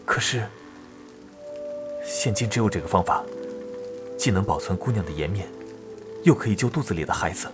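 A man playing a gentle, caring village schoolteacher who pleads earnestly in a Chinese period audio drama. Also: fairly low pitch, expressive, normal speed, pauses often, over music, natural voice. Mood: pleading.

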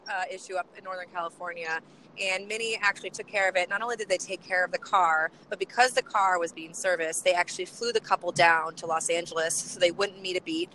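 A woman co-hosting from a car, bubbly and rambling, her voice worn low from chanting. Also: steady tone, quick pace, bright, forceful tone, a little hiss or hum, poor audio. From US West Coast. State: voice getting lower from chanting. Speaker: co-host. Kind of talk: casual co-host chat, road trip update.

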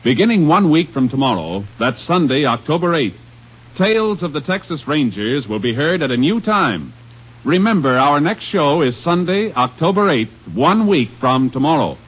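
A man's voice: a little high, big pitch swings, normal speed, husky. An American man making a formal, emphatic scripted announcement as a 1950s radio show announcer. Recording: dull old radio recording.

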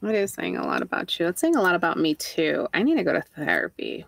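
Young Texan woman, podcast host, low gravelly voice, self-mocking, dry close sound. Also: very animated, quick pace, no room sound. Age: young adult. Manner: laughs often, self-mocking. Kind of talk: casual chat.

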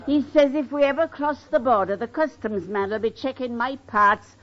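An actress playing a vain, good-humored middle-aged Irish neighbor in an old radio sitcom. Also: female voice, on the high side, normal speed, natural voice. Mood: chatty, coy. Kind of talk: 1940s-50s radio sitcom acting. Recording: dull, noisy old radio recording.

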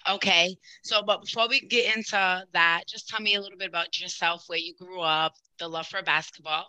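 A woman's voice: on the low side, fairly even intonation, brisk, sharp tone, rough recording. A woman hosting a sports podcast, introducing the show and interviewing a guest in an informal, upbeat way.

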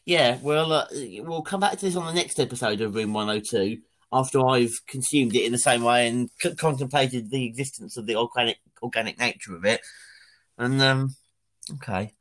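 A man's voice: mid-range voice, normal speed, a bit bright, poor audio. A man, co-hosting a podcast in casual chat, stammering into restarts and laughing often. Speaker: podcast co-host.

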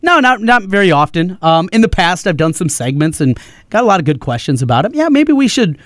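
Higher-voiced male sports radio host. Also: sing-song, brisk, fairly bright tone, dry recording, clean studio sound. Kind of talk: sports talk banter and commentary. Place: radio studio. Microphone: close studio broadcast microphone.

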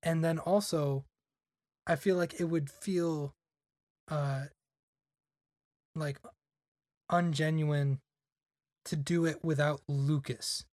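A man's voice: a little high, steady tone, takes it slowly, long pauses, a little mellow, no echo. Young man rambling casually, dry mic. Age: young adult. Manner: rambling, lots of "like" fillers. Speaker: podcast guest. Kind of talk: casual chat.

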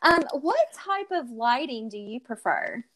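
A woman co-hosting a casual podcast, friendly and upbeat, with quick agreeing interjections. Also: on the high side, sing-song, fairly bright tone. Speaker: co-host.

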